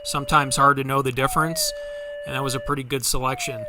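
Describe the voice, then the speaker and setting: A man's voice: mid-range voice, steady tone, fast talker, background noise. A man hosting a radio hobby show, giving thoughtful, technical commentary with a hesitant way of explaining. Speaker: host.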